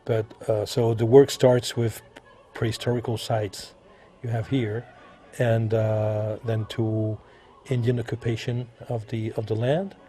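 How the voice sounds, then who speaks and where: A man from France with a French accent, answering interview questions as an artist, halting and repeating himself as he searches for words. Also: fairly low pitch, flat delivery, warm tone. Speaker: visual artist being interviewed.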